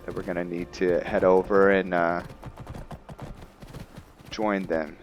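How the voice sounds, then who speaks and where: A man giving casual commentary on his roleplay game, thinking aloud in a halting way. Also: deep voice, flat delivery, highs cut off, a little hiss or hum. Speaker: gamer narrating a roleplay playthrough.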